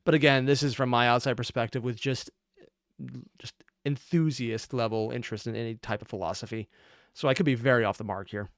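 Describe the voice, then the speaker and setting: A man hosts a reaction video with casual, unscripted commentary in a very dry, close recording. He is analytical, wanders into asides and corrects himself as he goes. His pitch sits in the middle range for a man. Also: steady tone, a little fast, no room sound.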